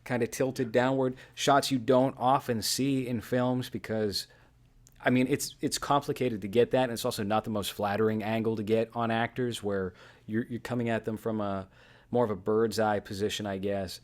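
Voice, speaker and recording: A man's voice: lowish voice, fairly even intonation, moderate pace. A man hosting a podcast, casually analysing films and explaining his points piece by piece. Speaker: podcast host.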